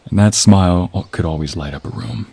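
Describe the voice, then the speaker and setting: A man playing a confident, flirty office coworker in a romantic audio role play, with a smooth-talking, teasing, intimate manner. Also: sits low, brisk, warm tone, no echo, heavily acted. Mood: playful, flirty.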